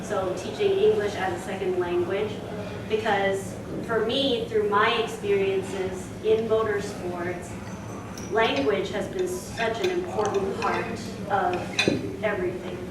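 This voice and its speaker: A woman's voice: mid-range voice, steady tone, slow. A young woman from Singapore giving a chatty talk to a club audience, with frequent fillers. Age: young adult. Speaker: speaker. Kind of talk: presentation to a club. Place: meeting room. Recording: echoey room, distant mic, noisy.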